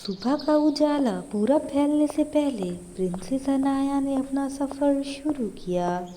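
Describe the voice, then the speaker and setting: A woman's voice: high voice, lively intonation, takes it slowly, mellow, slight background noise. A woman narrating a scripted fairy tale as a storyteller.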